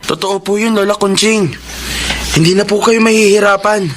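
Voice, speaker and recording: A high, youthful male voice with a Filipino accent , acted by a man, plays a teenage grandson in a radio drama. He is dutiful, respectful and earnestly apologetic. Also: steady tone, moderate pace, noisy background, lightly acted.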